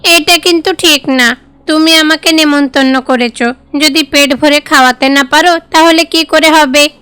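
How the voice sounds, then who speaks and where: Hungry child god for cartoon, voiced by a woman: high-pitched, dry studio sound, confident and demanding. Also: fairly even intonation, measured pace, sharp tone, no room sound. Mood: indignant. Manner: demanding, self-assured. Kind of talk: cartoon fairy-tale voice acting.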